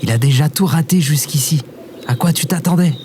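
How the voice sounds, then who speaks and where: Man as a higher-pitched, wry, grumbling adventurer. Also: a little fast, darkish tone, a little hiss or hum, lightly acted. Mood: sarcastic. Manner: colloquial, wry, hesitant. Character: casual, grumbling, sarcastic male adventurer. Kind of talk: scripted audio drama.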